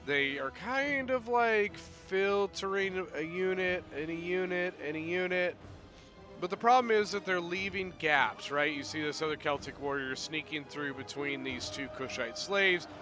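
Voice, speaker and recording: Bright-voiced excitable male battle commentator. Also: high-pitched, measured pace, soft background music. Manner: chatty, excitable, bursts of loud exclamation. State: voice a little off from recent illness. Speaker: game commentator. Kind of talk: play-by-play commentary on a strategy game battle.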